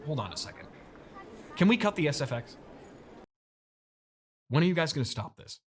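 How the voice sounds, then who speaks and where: A man's voice: fairly high pitch, sing-song, normal speed. Dry American man, noisy background. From United States. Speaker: audio drama company founder. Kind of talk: casual scripted comedic dialogue.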